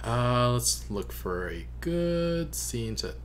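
A man in his twenties giving casual, amused reaction commentary in a halting way, at a desk mic in a bedroom. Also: mid-range voice, big pitch swings. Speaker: reaction video host. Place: small bedroom. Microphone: desk microphone close by. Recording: faint background hum.

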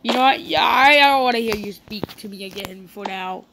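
A woman chatting in character during a casual game roleplay, breaking into excited exclamations. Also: medium pitch, a little fast, noisy background. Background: loud game music.

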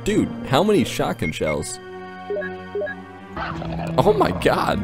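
A man's voice: medium pitch, huge pitch swings, takes it slowly, loud music behind the voice. A man giving casual let's play commentary, crude and exclamatory, swearing freely. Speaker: gaming video host.

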